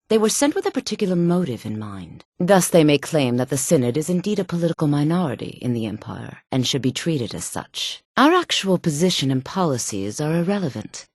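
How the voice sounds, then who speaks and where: Sultry haughty sorceress for a fantasy game, really low voice, lively swoops, formal and bossy. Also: female voice, dry recording, studio quality. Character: sultry, haughty woman mage of a fantasy realm. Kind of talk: video game character voice acting.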